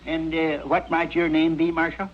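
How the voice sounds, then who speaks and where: An actor playing a scrawny old trail drifter, blustery and sly, with a rural American accent in a radio western. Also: male voice, on the high side, completely flat delivery, moderate pace, lightly acted. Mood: folksy, ingratiating. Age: older. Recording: muffled, noisy old radio recording.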